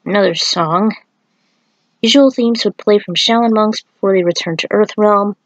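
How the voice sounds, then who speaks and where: A woman's voice: mid-range voice, moderate pace, no room sound, rough recording. A woman reading her own fan fiction aloud as an amateur narrator and adding author's notes. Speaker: fan fiction writer and podfic reader.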